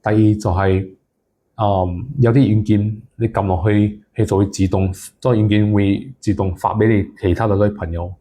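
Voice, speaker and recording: A man's voice: on the low side, steady tone, normal speed, mellow, a little hiss or hum. A man giving casual advice to viewers as a solo content creator, mixing English words into his speech.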